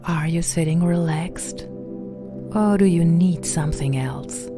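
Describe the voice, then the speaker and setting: A woman guiding a meditation in a gentle, soothing, unhurried manner, softly spoken and at times breathy. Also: on the low side. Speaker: meditation guide and podcast host. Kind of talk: guided meditation narration. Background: background music.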